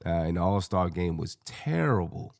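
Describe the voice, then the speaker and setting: Man, low gravelly hoarse voice, slangy casual sports talk, panel show host, dry close sound. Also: sing-song, moderate pace, darkish tone, no echo. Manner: slangy, colloquial. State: hoarse, scratchy voice.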